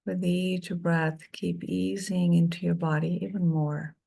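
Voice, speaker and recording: A middle-aged woman leading a guided meditation, speaking softly and soothingly with long pauses between phrases. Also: fairly low pitch, level tone, gravelly, rough recording.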